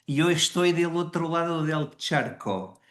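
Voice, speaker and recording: A man with a Portuguese accent speaks slowly and carefully, pausing on fillers and restarting words as he presents. He is a Jesuit priest on a video call. His voice carries the echo of the room he sits in. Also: a little high. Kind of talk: unhurried webinar presentation. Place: indoors in Rome.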